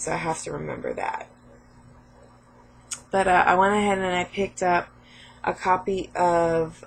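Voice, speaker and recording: Hoarse, sniffly woman chatting about games. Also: fairly low pitch. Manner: chatty, rambling, many fillers. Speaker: game collecting vlogger. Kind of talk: casual chat about game pickups.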